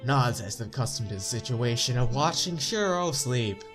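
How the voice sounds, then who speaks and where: Stuffy-nosed man with lively, swooping intonation. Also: mid-range voice, normal speed. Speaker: let's play narrator. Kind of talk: reading visual novel narration aloud with casual commentary. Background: game music.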